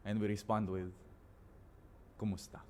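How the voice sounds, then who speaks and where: A man with a Filipino accent performing spoken word poetry as a guest, in deliberate, dramatic phrases with weighted pauses. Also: on the low side, level tone, a little mellow, slight background noise. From Philippines.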